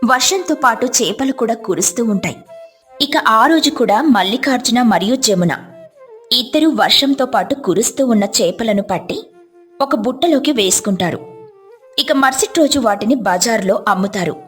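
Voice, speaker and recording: A woman tells a devotional moral tale in scripted narration. Her voice sits fairly high, and music plays behind her. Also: expressive, normal speed. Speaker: storyteller.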